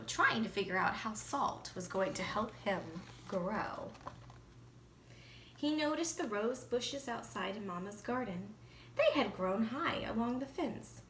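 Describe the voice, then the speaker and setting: A woman's voice: medium pitch, fairly bright tone, slight background noise, rough recording. A woman teacher reading a children's storybook aloud expressively, with teaching asides and questions to the listener.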